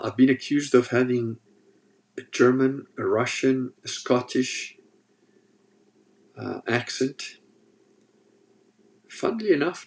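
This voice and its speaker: Gravelly man chatting on a livestream. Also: mid-range voice, a little hiss or hum, low-quality recording. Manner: rambling, joking, restarts often. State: sore throat. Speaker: livestream host reading chat. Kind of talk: casual livestream chat.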